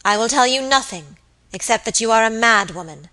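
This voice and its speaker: Cold, haughty lady voiced by a woman, sharp-edged. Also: mid-range voice, lively intonation, moderate pace, natural voice, rough recording. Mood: fierce, cold. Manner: cold, hard, stern, contemptuous. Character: cold, haughty, fierce lady scolding her maid.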